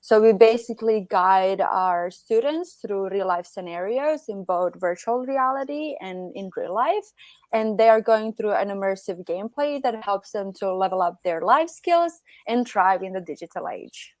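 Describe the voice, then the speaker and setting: A woman's voice: medium pitch, animated, moderate pace, a little mellow, no echo. A woman from Croatia, a guest on a podcast, who gives thoughtful answers filled with "like" fillers. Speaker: startup founder guest. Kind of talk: casual interview answers.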